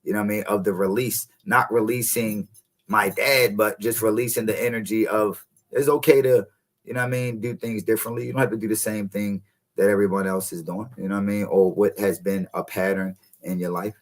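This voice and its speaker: A man in his thirties, a guest coach, speaking in a relaxed, reflective way and often adding "you know what I mean". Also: lowish voice, a little fast. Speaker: podcast guest, encouragement coach. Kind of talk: reflective casual conversation.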